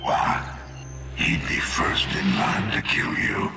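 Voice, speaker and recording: A man's voice: slow pace, natural voice. An actor playing a cruel, mocking male emperor who taunts and drops into menacing whispers. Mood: gloating. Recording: echoey and distant over loud music.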